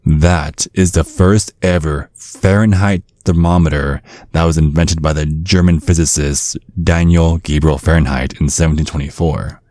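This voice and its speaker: A man acting a menacing, courteous old vampire father in an ASMR roleplay, speaking in measured, slyly threatening tones. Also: a bass voice, fairly even intonation, mellow, no room sound, studio quality. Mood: smug, courteous. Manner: measured, veiled threats under courteous small talk. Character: menacing ancient vampire father, polite, sly and controlling.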